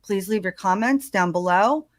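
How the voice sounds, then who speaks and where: A woman's voice: medium pitch, normal speed, no room sound, studio quality. A woman doing casual true crime commentary in a hesitant way, with many ums and uhs.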